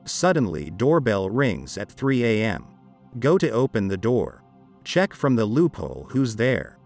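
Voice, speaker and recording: A man reading a scripted horror story as narrator, over dark background music. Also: lowish voice, very animated, unhurried. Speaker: narrator of creepy internet stories. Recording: dark ambient music behind.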